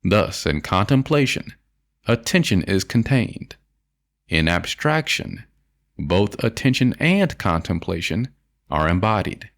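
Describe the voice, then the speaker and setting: A man with a lowish voice narrates an audiobook. His intonation rises and falls widely from phrase to phrase. The delivery is formal and measured, with deliberate emphasis on the written prose. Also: gravelly. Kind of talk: scripted audiobook narration of an old self-help essay.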